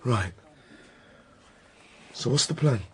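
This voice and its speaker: An actor playing a blunt, impatient, hot-headed British detective constable with an English accent, in a naturalistic radio drama. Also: male voice, mid-range voice, animated, slow pace. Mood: resolute.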